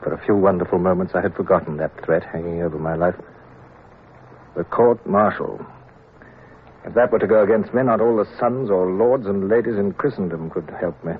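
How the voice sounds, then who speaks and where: A brooding British naval captain. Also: male voice, sits low, a little hiss or hum. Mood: reflective. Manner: introspective, measured and earnest. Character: reflective middle-aged male naval captain. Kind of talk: 1950s radio drama first-person narration. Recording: tinny old radio broadcast recording.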